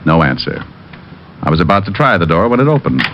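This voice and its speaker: A man's voice: sits low, very animated, fast, vocal fry, noisy background, exaggerated voice. A man playing a weary, wisecracking private detective with an American accent, narrating and trading flippant lines in old radio drama style. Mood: wry narration. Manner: wry, flippant, sardonic. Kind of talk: 1940s-50s radio detective acting and narration. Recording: muffled old radio recording with music.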